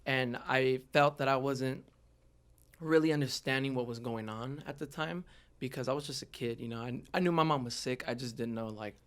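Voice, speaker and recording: Man, from LA area, smooth clear voice, mid pitch, slangy casual storytelling, podcast guest. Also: normal speed. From Los Angeles area, United States. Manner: informal, slangy. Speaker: podcast guest, hip-hop artist.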